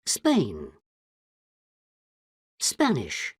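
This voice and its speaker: A woman with a low, husky voice reads vocabulary words one at a time, very slowly. Each word is drawn out carefully, and her pitch sweeps widely. The sound is dry and close. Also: huge pitch swings, no echo. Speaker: vocabulary reader for a language lesson. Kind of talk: reading word lists slowly.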